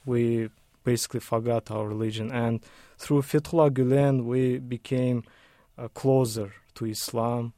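Radio guest, male, measured and flat delivery, hesitant English. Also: mid-range voice, no room sound. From Kazakhstan. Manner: hesitant, careful second-language English. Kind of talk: call-in radio interview.

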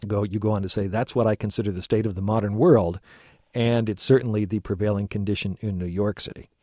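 A man hosts a radio call-in show from a studio, with a dark, mellow voice and a dry, close sound. He asks his questions hesitantly and fills them with ums and restarts. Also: fairly low pitch, fairly even intonation, fast talker, no room sound. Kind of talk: radio interview.

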